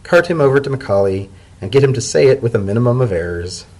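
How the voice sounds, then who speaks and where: A man voicing a brisk, wry female pony press secretary, stubborn and incredulous, in a dramatic story reading. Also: mid-range voice, lively intonation, a little mellow.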